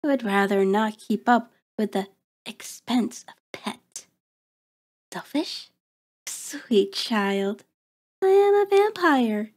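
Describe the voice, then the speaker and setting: A woman's voice: fairly high pitch, lively intonation, darkish tone. A woman playing a cold, taunting vampire in an intimate ASMR horror roleplay, speaking with mocking, formal deliberation. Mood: disdainful. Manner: slow, deliberate, mocking, haughty formal diction. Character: cold, taunting, predatory female vampire toying with prey.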